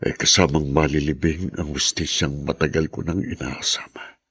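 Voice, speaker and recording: A man's voice: extremely low pitch, steady tone, normal speed, a bit bright, breathy edge, heavily acted. An actor playing an embittered, despairing man long in prison, in melodramatic radio drama style. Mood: bitter, resigned. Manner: brooding, anguished.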